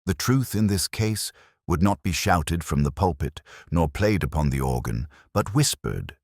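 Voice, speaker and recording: A man plays a weary middle-aged detective telling his own case as audio drama. His voice is extremely low and moves expressively up and down, with a measured, brooding, literary delivery. The recording is close and dry. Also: expressive, dry recording, heavily acted. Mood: brooding, reflective. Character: weary, razor-minded middle-aged English male police inspector narrating his case. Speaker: narrator. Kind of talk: scripted first-person detective audio drama narration.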